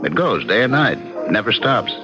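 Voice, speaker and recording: An actor playing a smooth, possessive bookie who coaxes and insinuates, in an old-time radio drama. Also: male voice, medium pitch, sing-song, moderate pace, close to an everyday voice. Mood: seductive, pressing. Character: smooth, seductive male bookie, possessive tempter. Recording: dull lo-fi radio drama, loud music.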